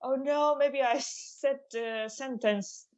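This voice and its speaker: A woman whose first language is Japanese, chatting on a podcast as a comedian guest, halting and laughing often. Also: high voice, fairly even intonation, darkish tone, muffled recording. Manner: hesitant, halting phrasing with frequent laughs. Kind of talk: casual podcast chat.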